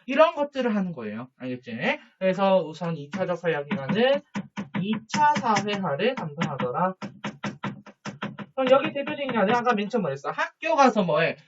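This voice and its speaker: A man teaching a high school social studies lesson, chatty, with jokey asides and quick questions to his students. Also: big pitch swings, music in the background. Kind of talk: online lecture to students. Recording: dull lo-fi recording.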